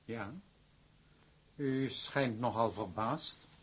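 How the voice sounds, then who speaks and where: An actor playing a cool, polite, guarded antagonist in a Dutch drama, husky, with formal, measured speech. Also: male voice, medium pitch. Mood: cool politeness.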